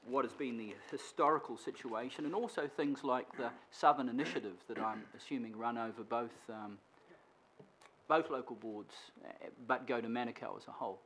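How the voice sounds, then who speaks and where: A man, a city councillor, putting measured, hesitant questions at a council meeting. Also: medium pitch, fairly bright tone, a little hiss or hum, low-quality recording. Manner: measured, hesitant, many fillers. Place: council chamber.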